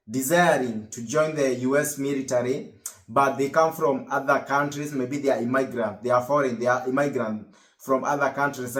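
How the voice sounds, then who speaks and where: Kenyan-accented young man, echoey room. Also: mid-range voice. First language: Kikuyu. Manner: rambling, repeats and restarts phrases. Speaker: vlogger. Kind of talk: casual motivational talk to camera.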